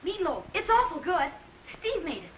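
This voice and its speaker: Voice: very high-pitched, wildly swooping pitch, normal speed, a little hiss or hum, full character voice. A performer playing an eager, sweet American boy in a vintage film style, on a muffled old soundtrack. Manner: earnest, colloquial. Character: eager, sweet orphan boy devoted to his father. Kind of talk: vintage Hollywood film acting.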